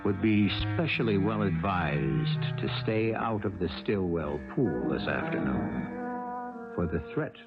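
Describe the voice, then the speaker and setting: A man's voice: low-pitched, expressive, slow, gravelly. A man hosting and narrating a 1970s radio drama in a theatrical, ominous style. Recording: muffled 1970s radio broadcast. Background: prominent music.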